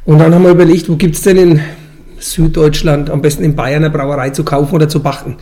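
Male, forties, Franconian dialect, brewmaster interviewee; quick, relaxed-sounding, colloquial explanations. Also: a little high. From Franconian Switzerland, Bavaria, Germany. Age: 40s. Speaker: brewmaster and brewery owner, interviewee.

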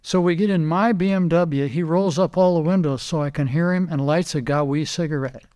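Older male guest, slightly higher voice, lively anecdotes, repeats words as he talks. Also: a little fast. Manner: animated anecdotes, quoting others, repeats words. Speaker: guest, retired racing driver. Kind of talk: casual storytelling interview.

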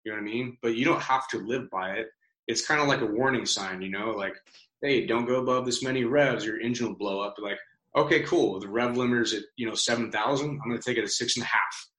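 A man's voice: medium pitch, fast, a bit bright. A man guesting on a podcast interview, talking casually with plenty of fillers and swearing. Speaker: guest, business founder. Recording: roomy, echoey sound.

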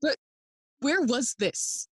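A woman's voice: high voice, lively intonation, takes it slowly, no room sound, clean studio sound. A woman playing a grieving, bitter young prince in a bilingual Shakespearean audio play, theatrical and impassioned, moving between English and Spanish. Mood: astonished.

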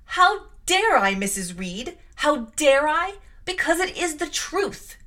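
A woman's voice: a little high, very animated, normal speed, sharp tone, exaggerated voice. A woman reading aloud and voicing a passionate, defiant young girl who accuses her aunt in a raised, impassioned voice. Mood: furious.

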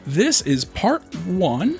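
A man co-hosting a casual podcast, introducing guests in a relaxed way with lots of fillers. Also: sits high, slow pace, soft background music. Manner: easygoing, filler-heavy intro. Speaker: podcast co-host.

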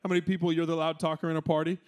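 A man preaching a sermon with a loud voice, conversational and energetic, with playful asides, in a church. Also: a little high, very fast. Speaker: pastor.

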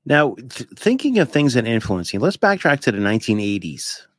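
Guy hosting a podcast, tossing out questions casually, average pitch, really dry close sound. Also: big pitch swings, normal speed, a bit bright, dry recording. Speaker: podcast host. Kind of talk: casual chat, posing questions.

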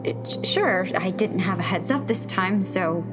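Slow, halting, anxious woman playing a female rebel. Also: medium pitch, expressive, loud music behind the voice, close to an everyday voice. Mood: panicked, defensive. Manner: halting, breathy, nervously sarcastic. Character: anxious young female rebel tech, sardonic survivor. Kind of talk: naturalistic sci-fi radio drama acting.

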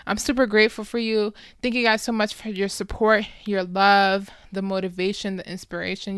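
Woman, early twenties, podcast host, informal and chatty, crisp resonant voice, dry sound. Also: medium pitch, fairly bright tone, no echo. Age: early 20s. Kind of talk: casual solo podcast introduction.

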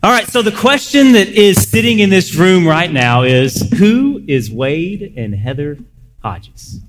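Higher-voiced man hosting in an echoey hall. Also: very animated, measured pace. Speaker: church host interviewing guests on stage. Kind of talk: casual live stage interview. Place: large church room, on stage. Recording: echoey hall, clear background noise.

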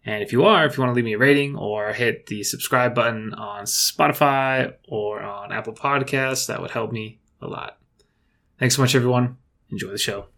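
A man's voice: mid-range voice, moderate pace, creaky, fairly bright tone. A man hosting an interview podcast in an upbeat, informal and enthusiastic manner.

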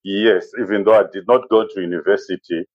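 A man in his forties with a Zimbabwean accent, speaking as an interview guest in a measured, halting way and often saying "you know". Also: low-pitched, steady tone, no echo, lo-fi sound. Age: 40s. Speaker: interview guest, safari business owner. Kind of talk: conversational interview, personal recollection.

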